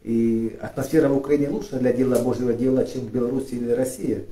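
A middle-aged man from Ukraine preaching a sermon, halting with fillers and restarts, rising and emphatic when he reads scripture aloud. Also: medium pitch, steady tone, dark tone. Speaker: pastor. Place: church studio with flags and lit cross. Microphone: far camera. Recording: echoey room, voice from a distance.

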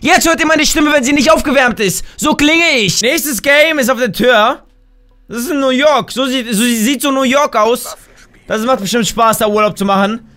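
High, bright, excitable young man gaming. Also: moderate pace. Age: young adult. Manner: excitable, exclaims and shouts at the game. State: slightly hoarse, tired. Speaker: gaming YouTuber. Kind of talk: excited gameplay commentary. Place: home gaming room, indoors. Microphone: headset.

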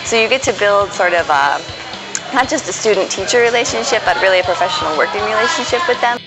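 A woman's voice: mid-range voice, expressive, quick pace, rough recording. A young woman from Michigan, a graduate student chatting in an interview, recorded outdoors on a lapel mic. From United States, Michigan. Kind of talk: interview testimonial. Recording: music bed behind voice.

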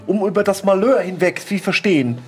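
A man's voice: sits high, fairly quick, darkish tone, slightly rough, soft background music. A man voicing a pompous, haughty count in his late seventies who loves his indulgences, in a tabletop audio drama. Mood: smug, dismissive. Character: pompous old count of seventy-eight, fond of drink.

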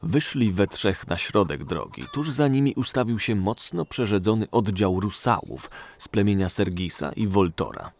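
Man, scripted story narration, fantasy, very low pitch, gravelly fry. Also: lively intonation, normal speed, few pauses.

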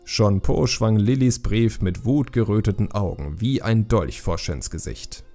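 A man's voice: fairly low pitch, measured pace, mellow, soft background music. A man narrating a fantasy audiobook in a scripted, dramatic storytelling style.

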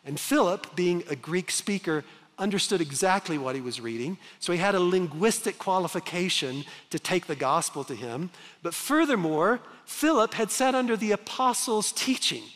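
A man in his fifties preaches from a large church stage on a headset mic, his voice sitting a little high for a man. He is earnest and emphatic, slowing down for dramatic pauses, and a long hall reverb trails behind each phrase. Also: animated, fairly bright tone. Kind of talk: sermon.

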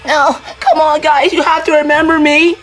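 A woman's voice: sits high, little pitch movement, normal speed, natural voice, low-quality recording. A woman voicing a frightened young female animatronic chick in an amateur horror drama, breathless, melodramatic and pleading, with music behind her. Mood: panicked pleading.

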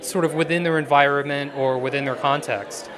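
A man's voice: on the high side, steady tone, fairly quick, a crowd in the background. A man in his thirties interviewing an artist in a gallery, framing questions hesitantly with many fillers, speaking into a handheld mic. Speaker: arts interviewer.

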